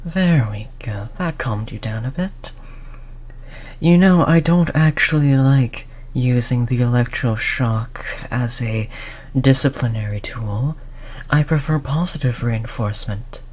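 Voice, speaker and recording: A performer of unclear sex playing a cold, condescending researcher who disciplines a captive test subject in a measured, mock-polite way, in an audio roleplay. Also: medium pitch, darkish tone, lightly acted. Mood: stern, condescending. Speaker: scientist running human experiments. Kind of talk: scripted audio roleplay drama.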